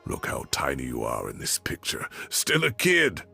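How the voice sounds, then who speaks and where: An actor playing an older, teasing, nostalgic professor with a gravelly voice in a video game. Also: male voice, extremely low pitch, wildly swooping pitch, normal speed, pushed and bright, exaggerated voice. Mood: amused, nostalgic. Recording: music under the dialogue.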